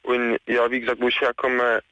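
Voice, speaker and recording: A man's voice: mid-range voice, completely flat delivery, moderate pace, pushed and bright, dry recording. A man from Saxony-Anhalt in Germany calling a radio show, giving his opinion casually over a lo-fi phone line. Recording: dull lo-fi phone call.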